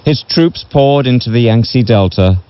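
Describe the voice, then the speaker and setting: A man reading a history book as a narrator in a measured, solemn way, heard over a shortwave radio broadcast. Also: mid-range voice, expressive, clean tone, no echo, dull audio. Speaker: audiobook narrator. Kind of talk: scripted literary history narration.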